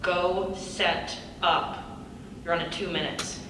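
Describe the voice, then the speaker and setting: A woman's voice: fairly low pitch, steady tone, slow. A young woman playing a sardonic, no-nonsense club woman in a staged script reading, blunt and teasing. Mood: brisk, encouraging. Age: young adult. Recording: echoey hall from far off, noisy.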